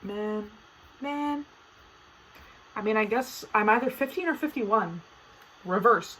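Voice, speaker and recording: A woman's voice: medium pitch, expressive, slow, a bit bright, slight background noise, rough recording. A teenage girl hosting her own podcast, chatting casually and playfully dramatic, with lots of fillers. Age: teens. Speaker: podcast host. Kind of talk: casual solo podcast chat.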